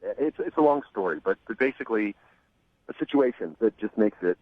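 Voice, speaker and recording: A man on a phone call to a radio show, speaking hesitantly with many pauses, restarts and "um"s. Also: lowish voice, animated, no room sound. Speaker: caller on the phone. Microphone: telephone. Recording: muffled phone line.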